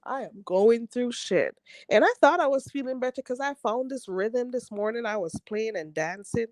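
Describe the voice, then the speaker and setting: A woman's voice: a little high, expressive, normal speed, a little mellow, no echo, clean studio sound. Stuffy-nosed woman laughing through chat. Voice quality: nasal. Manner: laughs often, blunt and frank. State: stuffy nose. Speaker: livestream host reading chat. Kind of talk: casual live chat.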